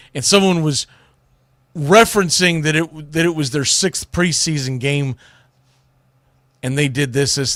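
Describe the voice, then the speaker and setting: A man's voice: mid-range voice, a bit bright, no room sound, clean studio sound. A man hosting a sports talk show, giving casual, opinionated commentary in colloquial language, speaking straight to his listeners.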